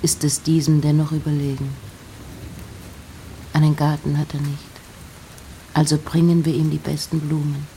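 A woman giving a literary reading of poems and letters with measured, deliberate phrasing. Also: deep voice, level tone, mellow, a little hiss or hum.

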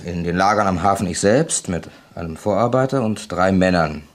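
Low-voiced, hesitant, polite son-in-law, played by a man. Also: natural voice. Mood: measured, polite. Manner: halting, measured, deferential. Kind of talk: 1970 German radio play acting.

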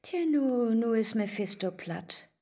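An actress playing a gleeful, scheming neighbour in a radio comedy, exclaiming in a Northern German accent. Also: female voice, a little high, animated, slow, mellow, heavily acted. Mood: triumphant. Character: gleeful, scheming neighbour woman plotting against the devil.

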